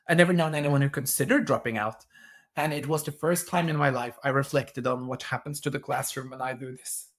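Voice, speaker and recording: A man's voice: mid-range voice, fairly bright tone. A Norwegian man speaking English with a Norwegian accent as an interview guest, telling stories eagerly with some stammered restarts. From Norway. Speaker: co-founder guest. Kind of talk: conversational interview answers.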